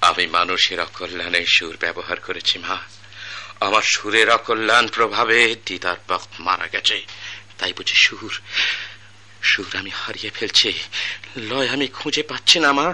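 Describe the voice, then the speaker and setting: A man's voice: sits low, moderate pace, sharp tone, full character voice. An actor playing an anguished ageing master musician and doting father, in fervent, repetitive theatrical speech with a Bangladeshi accent, in a radio drama. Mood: remorseful, grieving. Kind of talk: old-fashioned Bengali radio drama acting.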